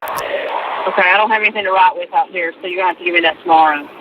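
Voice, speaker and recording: A woman's voice: fairly low pitch, quick pace, noisy background. A middle-aged or older woman talking casually as she receives a recorded jail phone call. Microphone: telephone.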